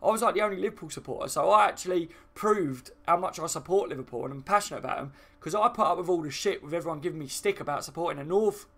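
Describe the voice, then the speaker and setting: A man's voice: a little high, fast, few pauses, fairly bright tone. A man with a southern English accent hosting a football fan live stream, talking in an informal, matey, rambling way with casual opinions. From southern England.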